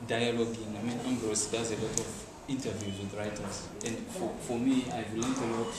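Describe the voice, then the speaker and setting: A man's voice: fairly low pitch, fairly even intonation, normal speed, a loud crowd. A man speaking on a writers' panel, earnest and often repeating words as he goes. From Zimbabwe. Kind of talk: panel discussion. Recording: echoey room, far from mic, loud noise.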